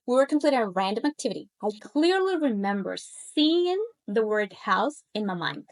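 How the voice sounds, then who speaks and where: Colombian woman in her thirties, native Spanish speaker, fairly high voice, upbeat persuasive webinar coach. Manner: upbeat, persuasive, lifts her pitch on key points. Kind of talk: webinar pitch and personal story.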